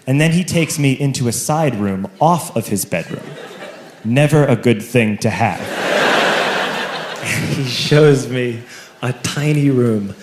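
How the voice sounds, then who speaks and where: A man telling a long story as a stand-up comedian, talking casually and building to shouted punchlines in front of a live audience. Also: mid-range voice, unhurried, a crowd in the background. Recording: echoey club with audience laughter.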